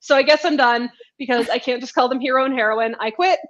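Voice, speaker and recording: A woman author chatting casually in a live interview, telling stories in an animated, giggly way over a video call. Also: sits high, quick pace, dull audio. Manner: chatty, animated storytelling, laughs often. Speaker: romance author being interviewed. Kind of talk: casual live book chat.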